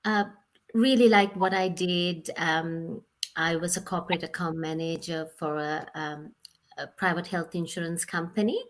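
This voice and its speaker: Woman, 40s, from Sri Lanka, guest on a video call; low, slow, easygoing storytelling with fillers. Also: fairly even intonation. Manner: unhurried, many "um"s, laughs often. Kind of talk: casual video call interview.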